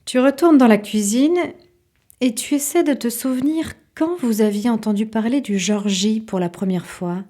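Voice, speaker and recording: A woman's voice: fairly high pitch, normal speed, dark tone. A woman narrating a literary audio fiction in the second person.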